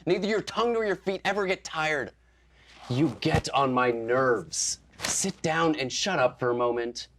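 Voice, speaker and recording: A woman's voice: low voice, expressive, measured pace, slight background noise. A woman playing an irritable, bossy male traveling actor in a stage play, grumbling and scornful. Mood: scornful irritation. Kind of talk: theatrical stage acting.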